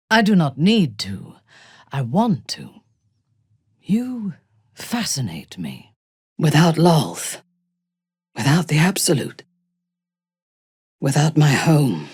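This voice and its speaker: A woman playing an imperious, ruthless dark elf warrior noblewoman in a fantasy video game, speaking in a commanding, formal, haughty way with a husky, breathy voice. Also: a bass voice, no echo, clean studio sound, exaggerated voice. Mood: intimate, searching.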